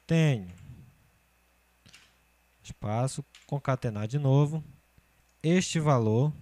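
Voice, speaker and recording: A man's voice: mid-range voice, slow. Man in his thirties teaching spreadsheets. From Brazil. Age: 30s. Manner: steady step-by-step explaining, often checking with "certo?". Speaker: computer course teacher. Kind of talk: screencast spreadsheet tutorial.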